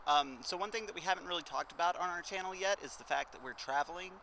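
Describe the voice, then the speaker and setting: A man with a slightly high voice hosts casual street interviews. He talks quickly, with clear traffic noise behind him. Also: pushed and bright. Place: outdoors on a city street.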